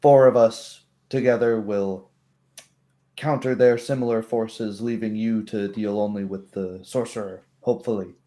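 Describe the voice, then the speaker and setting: Man voicing a slow, measured female kobold plotter. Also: on the low side, fairly even intonation, dark tone, close to an everyday voice. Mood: measured, practical. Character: female kobold go-between, plain-spoken plotter.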